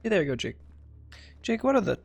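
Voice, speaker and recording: Creaky-voiced streamer, lively and playful. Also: medium pitch, quick pace. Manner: playful, mutters asides to self. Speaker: game streamer. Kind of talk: casual gameplay commentary.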